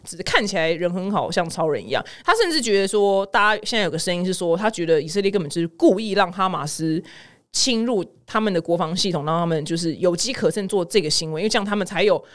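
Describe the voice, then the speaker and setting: A woman's voice: lowish voice, lively intonation, quick pace. A woman podcast host with a Taiwanese Mandarin accent, commenting on the news in a chatty, informal, slangy way.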